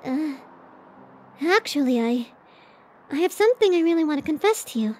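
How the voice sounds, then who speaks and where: A woman's voice: sits high, lively intonation, normal speed. A woman voicing a bashful, tender tsundere college girlfriend in a romantic ASMR roleplay, flustered and hesitant as she swings between protest and affection. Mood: shy, earnest.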